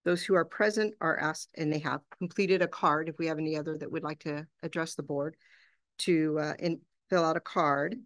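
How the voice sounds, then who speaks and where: A woman's voice: sits low, steady tone, moderate pace, no room sound. Woman chairing a board meeting. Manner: procedural, with frequent fillers. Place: large boardroom.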